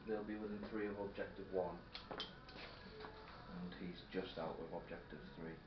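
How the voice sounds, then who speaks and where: A man's voice: low voice, steady tone, unhurried, over music. A man chatting casually as he plays a tabletop wargame and explains the rules. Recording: distant, roomy, dull, noisy room recording. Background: radio playing in the background.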